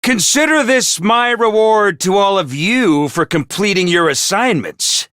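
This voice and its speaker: A man voicing a small, bossy male robot commander in a video game, theatrical and self-important, prone to shouting. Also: animated, measured pace, clear, resonant tone, dry recording, clean studio sound, heavily acted. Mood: boastful. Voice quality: squeaky, over-the-top. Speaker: voice actor. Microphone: dry studio mic.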